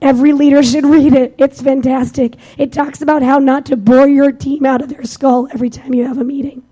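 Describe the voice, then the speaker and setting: Young woman w/ a squeaky high voice, mellow tone, chatty conference talk. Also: brisk. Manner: energetic, conversational, self-deprecating. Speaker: conference speaker. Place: conference room with audience.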